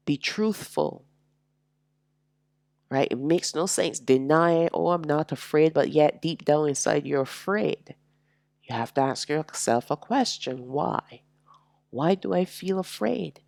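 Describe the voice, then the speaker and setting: A woman teaching a slow, reflective sermon, sounding nasal and congested from allergies, with drawn-out, emphatic phrasing. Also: low voice, vocal fry, a little mellow, no room sound, studio quality. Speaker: religious teacher.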